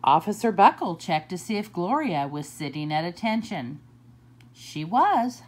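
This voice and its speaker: Woman, storybook reader for kids, unhurried, big pitch swings, lowish voice. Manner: expressive, slow and deliberate, swooping pitch. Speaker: children's librarian reading aloud. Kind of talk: storybook read-aloud.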